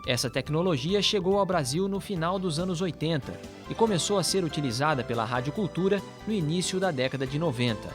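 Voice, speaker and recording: Higher-voiced Brazilian man narrating over music. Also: animated, fairly quick, hardly any pauses. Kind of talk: scripted documentary narration. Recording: music playing behind the voice. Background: background music.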